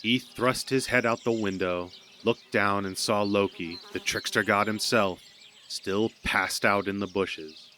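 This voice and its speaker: A man's voice: on the low side, fairly even intonation, unhurried, pushed and bright. A man narrating a scripted audio drama as a storyteller. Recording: noticeable background noise.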